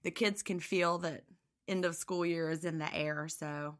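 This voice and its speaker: A woman co-hosting a podcast in casual chat, upbeat and a little hoarse. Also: sits low, fairly even intonation, unhurried. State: slightly hoarse. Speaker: podcast co-host.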